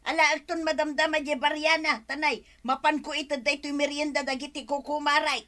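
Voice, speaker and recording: A woman's voice: sits high, level tone, brisk, bright, forceful tone. A woman playing a brash, whiny village woman in an Ilocano radio comedy, with exaggerated exclamations and an Ilocano accent. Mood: cheerful.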